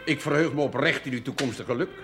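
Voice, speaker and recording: An actor playing a teasing village notary in a television drama, with a formal, insinuating manner. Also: male voice, medium pitch, fast, close to an everyday voice. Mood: sly, amused. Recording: old TV soundtrack with music behind.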